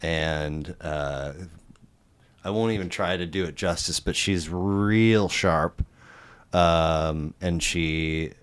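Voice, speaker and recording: A man hosting a podcast, talking casually and haltingly with many restarts and fillers. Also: deep voice, smooth. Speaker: podcast host.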